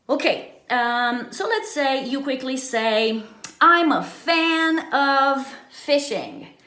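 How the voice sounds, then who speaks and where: Woman, language teacher, higher-pitched voice, unhurried pace, informal, frequent check-in tags. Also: fairly bright tone. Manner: checks in often with short tag questions. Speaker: English teacher. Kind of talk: informal bilingual language lesson. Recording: echoey, roomy sound.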